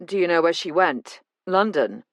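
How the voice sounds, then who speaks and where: A woman voicing a male detective inspector who speaks in a steady, direct way. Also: lowish voice, normal speed, dry recording. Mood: calm.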